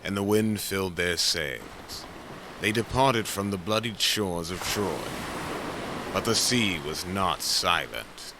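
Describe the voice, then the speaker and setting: A man delivers dramatic scripted narration at a slow, measured pace. His lowish voice is solemn and portentous. Loud wave and storm effects run behind him. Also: lively intonation, noisy background.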